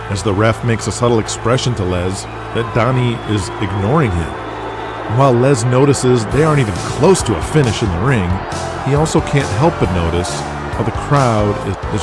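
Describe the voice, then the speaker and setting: A man's voice: medium pitch, animated, moderate pace. A man narrating a scripted audio drama with intense, emphatic storytelling. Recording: loud music bed under voice.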